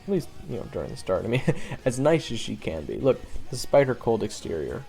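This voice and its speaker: Young male monster hunter, played by a male actor, audio drama monologue. Casual, self-deprecating, trailing off; fry-heavy, expressive pitch, music underneath. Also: brisk. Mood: bemused, fond. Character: young monster-hunting swordsman, wry, wounded, easygoing. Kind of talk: conversational audio roleplay monologue.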